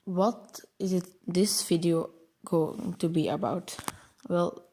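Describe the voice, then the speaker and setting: A man's voice: high voice, fairly even intonation, takes it slowly, dark tone, breathy edge. A young man from Belgium, a native Dutch speaker with a Belgian Dutch accent, explaining his coding project over slides and stumbling over long words. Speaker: programming channel host.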